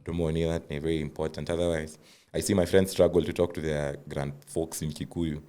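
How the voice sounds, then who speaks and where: A young Kenyan man with a Kenyan accent, a guest in a casual interview, talking in an animated way and switching between languages mid-sentence. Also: rumbling low, a little fast. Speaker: podcast guest, dancer and talent agency co-owner.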